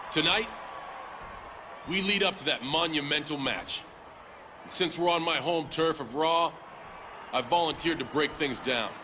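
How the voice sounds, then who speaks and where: A man's voice: on the high side, noisy background, natural voice. A man as a cocky wrestling champion. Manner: cocky, playful trash talk. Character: brash male wrestling champion addressing the crowd. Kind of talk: video game cutscene dialogue. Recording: game audio with arena ambience.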